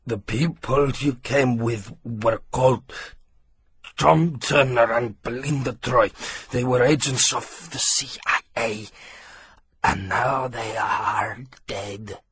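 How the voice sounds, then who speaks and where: A man voicing a slow, cold, disfigured villain. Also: mid-range voice, steady tone, a bit bright, breathy edge, full character voice. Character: disfigured slow menacing male villain interrogator.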